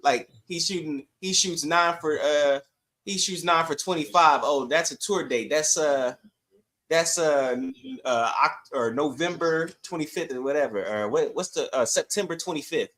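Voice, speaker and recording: A man's voice: a little high, moderate pace, fairly bright tone. A man co-hosting a sports podcast, chatting casually in slangy, emphatic bursts.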